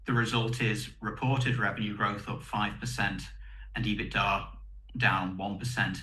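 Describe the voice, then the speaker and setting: Low, bright-voiced man in an echoey room. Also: level tone, unhurried. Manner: methodical, explanatory, enumerating points. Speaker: company executive presenting results. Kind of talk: earnings call presentation.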